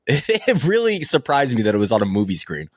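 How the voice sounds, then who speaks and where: A man's voice: medium pitch, wildly swooping pitch, moderate pace, husky, dry recording, studio quality. A man talking casually and bluntly as a movie podcast panelist, in an informal conversational style. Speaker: movie podcast co-host or guest. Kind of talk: casual movie-review chat.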